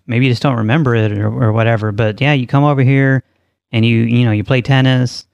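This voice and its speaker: A man with an average-pitched voice, recorded dry and close. He talks casually as a prank call host, keeping a straight face and pressing his point insistently. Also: steady tone, brisk, creaky, darkish tone, clean tone, no room sound, dull audio. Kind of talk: deadpan prank phone calls and casual show intro.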